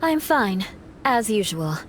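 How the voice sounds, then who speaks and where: A woman's voice: medium pitch, big pitch swings, takes it slowly, slight background noise. A woman voicing a cool, level-headed young female adventurer in a video game, matter-of-fact and decisive. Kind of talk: video game character acting.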